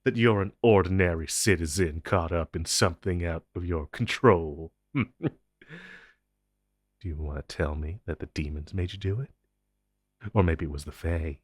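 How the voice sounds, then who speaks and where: Man playing a low, menacing monster interrogator. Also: no room sound, studio quality. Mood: taunting, probing. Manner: taunting, condescending, theatrical with deliberate pauses. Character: menacing male monster interrogator, inhuman, smug and possessive. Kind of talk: intense audio roleplay drama.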